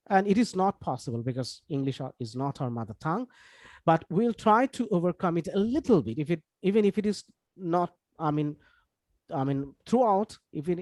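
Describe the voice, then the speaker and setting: A Bangladeshi-accented man, a native Bengali speaker, gives an informal online lecture as an instructor. His voice sits a little high for a man. He explains in a halting, repetitive way full of small asides, and the sound is dry, without room echo. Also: a little mellow. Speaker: English course instructor.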